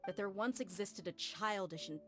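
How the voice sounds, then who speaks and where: An actress playing a tough young desert warrior woman in a video game, speaking calmly and heartfelt. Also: mid-range voice, normal speed, soft background music, lightly acted. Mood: reflective. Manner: calm, reflective, heartfelt.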